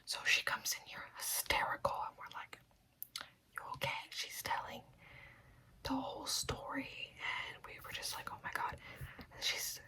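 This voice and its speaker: A woman's voice: a little slow, pushed and bright. A young woman in an ASMR roleplay, whispering as a chatty, gossipy nail technician.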